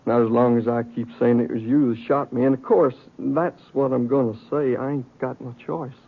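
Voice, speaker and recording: An actor playing a stubborn, vain Western outlaw with a needling, folksy rustic drawl in a 1950s radio western. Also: male voice, mid-range voice, fairly even intonation, moderate pace, gravelly, phone-quality sound. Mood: smug. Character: sly, stubborn, vain wounded male outlaw. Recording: thin, band-limited old radio recording.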